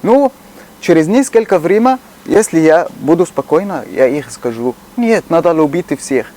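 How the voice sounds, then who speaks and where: A man with an Egyptian Arabic accent, a native Arabic speaker, talking earnestly in casual chat and pausing to find words. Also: fairly high pitch, animated, dark tone, rough recording. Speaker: shopkeeper.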